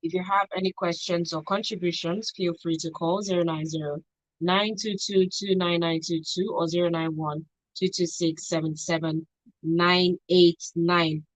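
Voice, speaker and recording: A woman's voice: on the low side, fairly even intonation, normal speed, no echo, poor audio. A woman with a Nigerian accent presenting a live radio talk show as its host.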